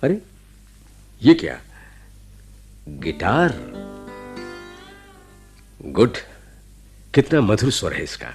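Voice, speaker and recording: An actor playing a genial, reassuring, avuncular hospital doctor in a radio drama, with a jovial, expressive manner. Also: male voice, fairly low pitch, drawn-out words, gravelly, pauses often, faint music behind, full character voice. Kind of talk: Hindi radio drama acting.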